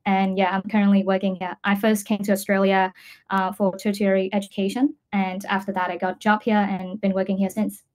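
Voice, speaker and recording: A woman at a middle pitch. She answers in a casual, conversational way, pausing and thinking aloud as a learner in an online class. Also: level tone, darkish tone. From Vietnam. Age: 20s. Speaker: English student, data scientist.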